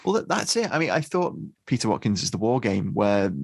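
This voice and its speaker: A man with a low, gravelly voice hosts a casual podcast chat. He talks in a loose, hesitant way, with his voice recorded dry and close. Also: very animated, a little fast, no room sound. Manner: conversational, hesitant, uses fillers like "you know". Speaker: podcast host.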